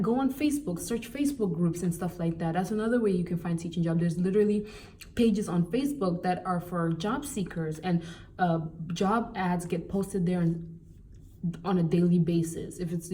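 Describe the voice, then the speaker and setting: A young woman from Cameroon giving casual, animated job-hunting advice in a vlog, with exasperated asides. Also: fairly low pitch, normal speed, distant mic. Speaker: vlogger.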